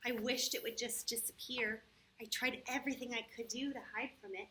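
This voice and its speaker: A woman hosting a story time for young children, talking in simple, patient, expressive phrases with frequent questions. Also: fairly high pitch, a little fast, background noise. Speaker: children's church story host.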